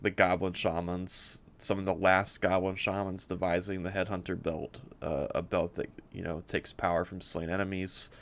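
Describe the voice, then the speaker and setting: A man presenting a game build in a casual, unscripted way, rambling and halting as he often repeats words. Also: sits low, fairly bright tone.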